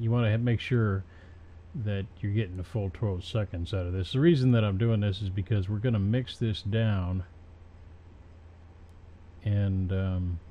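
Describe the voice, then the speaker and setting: A man with a lowish voice talks slowly through a casual software tutorial. He is halting and unscripted, thinking aloud as he works. The recording is dull and lo-fi, with faint noise behind him. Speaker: tutorial presenter.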